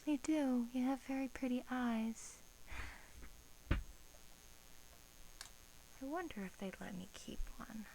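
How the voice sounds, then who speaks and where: A woman playing a playful, menacing vampire captor in a soft-spoken ASMR roleplay, often dropping to a whisper and teasing with sadistic relish. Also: a little high, lively intonation, gravelly, slight background noise, exaggerated voice, low-quality recording. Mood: playful, sinister. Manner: slow, teasing, with drawn-out pauses.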